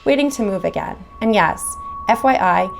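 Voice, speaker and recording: A woman host reads spooky stories aloud in a middle-pitched voice. Faint music plays under her narration, and between stories she slips into relaxed, chatty asides. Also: normal speed, gravelly. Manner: casual asides between readings, says "like" often. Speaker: horror story reader. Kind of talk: reading scary stories aloud.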